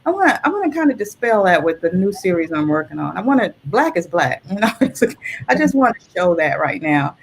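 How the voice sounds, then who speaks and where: A woman's voice: lowish voice, sing-song, fairly quick, a little hiss or hum, rough recording. A woman, an artist and illustrator, talking casually as an interview guest.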